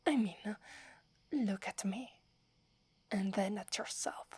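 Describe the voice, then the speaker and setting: A woman's voice: medium pitch, slow, no echo. Woman whispering as a proud Italian werewolf girl. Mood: scornful, teasing. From Italy. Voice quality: soft breathy whisper. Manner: haughty but stammers when flustered. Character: proud wary young werewolf girl, tsundere. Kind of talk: whispered ASMR roleplay.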